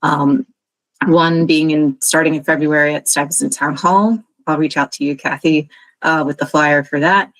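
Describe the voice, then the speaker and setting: A woman's voice: sits low, steady tone, normal speed, no room sound, poor audio. A woman giving friendly meeting updates over a call, with many fillers. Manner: friendly, filler-heavy listing of events.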